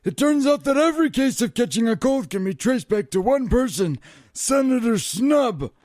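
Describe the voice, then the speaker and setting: A man puts on an officious medical official for a radio comedy. The voice is strikingly high and dry, declaiming with formal importance. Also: sing-song, moderate pace, a little mellow, no room sound. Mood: accusing. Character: solemn disease-control doctor's statement, read out. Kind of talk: comedy radio theater acting.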